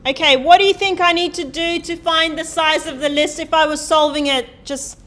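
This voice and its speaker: High-voiced woman lecturing loudly. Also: steady tone, moderate pace. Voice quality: loud voice. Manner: chatty, asks the class questions, thinks aloud while typing. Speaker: university programming lecturer. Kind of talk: live coding lecture. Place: lecture hall.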